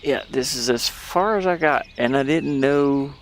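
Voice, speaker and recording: Man, gravelly fry, slow pace, casual gameplay commentary, puzzled muttering, faint background noise. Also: mid-range voice. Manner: puzzled, muttering to himself, thinking aloud. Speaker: gamer playing and commenting on a game.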